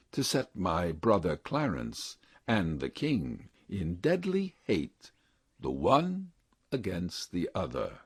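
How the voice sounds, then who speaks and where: Guy acting bitter deformed shakespeare villain, husky, slow, plotting and full of self-loathing. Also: lowish voice, no echo, clean studio sound, full character voice. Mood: scheming.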